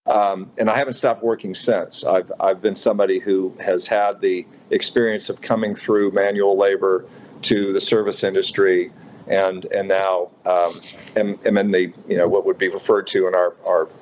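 A man in his fifties from Utah, a restaurateur speaking as a guest in a radio interview, thoughtful and halting with many fillers and restarts. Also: low-pitched, little pitch movement, a little mellow, slight background noise, poor audio. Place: radio studio.

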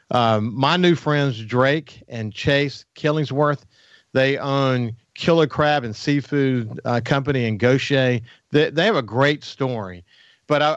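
An enthusiastic male radio host who doubles back on his words as he talks. He is recorded close and dry in a studio, with a slightly muffled, narrow sound and no room echo. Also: mid-range voice. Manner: enthusiastic, repeats words as he restarts. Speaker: radio talk show host. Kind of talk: upbeat interviewing, chatty lead-ins.

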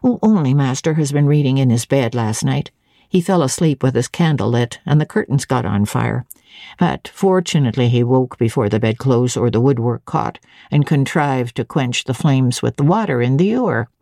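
A woman voicing a phlegmatic, guarded servant in an audiobook, composed and deliberate, probing with feigned indifference. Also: very deep voice, lively intonation, mellow, no room sound, clean studio sound, pitched below the performer's own voice. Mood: flat, offhand.

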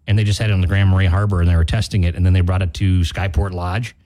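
American guy doing morning radio, lowish voice, rambles and restarts his sentences a lot, real casual. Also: flat delivery, racing, dark tone. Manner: rambling, often corrects himself mid-sentence. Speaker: radio morning show co-host. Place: radio studio.

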